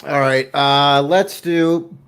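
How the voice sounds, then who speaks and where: A man's voice: a little high, fairly even intonation, takes it slowly, fairly bright tone, clean tone, soft background music. A young man co-hosting a live gaming stream in casual chat, rambling and self-mocking, often breaking into laughter. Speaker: streamer co-host.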